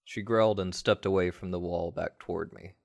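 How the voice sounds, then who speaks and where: A man with a low-pitched voice narrates a dramatic first-person tale. His delivery is measured and wry, in the manner of a radio play. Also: steady tone, creaky, a little mellow. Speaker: narrator of an audio story.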